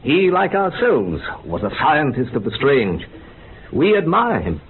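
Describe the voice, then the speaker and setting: A thin, lightly noisy radio recording carries a male scientist. He is wry and fervent, speaking in measured, theatrical phrases with very lively pitch movement. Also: heavily acted. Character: earnest, wry scientist devoted to old horror films. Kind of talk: radio play acting. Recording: muffled, band-limited, faintly noisy radio recording.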